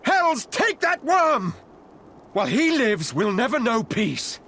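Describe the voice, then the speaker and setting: A man's voice: extremely high pitch, big pitch swings, slow pace, fairly bright tone, exaggerated voice. A man playing an embittered, war-weary soldier in a fantasy video game drama. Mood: angry, bitter.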